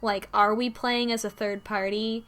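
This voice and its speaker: A woman's voice: medium pitch, level tone, measured pace, a bit bright. Musing woman wondering aloud. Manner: speculative, musing with frequent questions. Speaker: discussion panelist. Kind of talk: casual group discussion.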